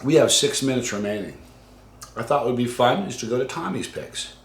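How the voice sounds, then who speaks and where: A man casually hosting a livestream and reading out viewer comments, halting and conversational, trailing off quietly at the ends of phrases. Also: medium pitch, lively intonation. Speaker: host of a hot sauce challenge channel. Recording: roomy, echoey room sound.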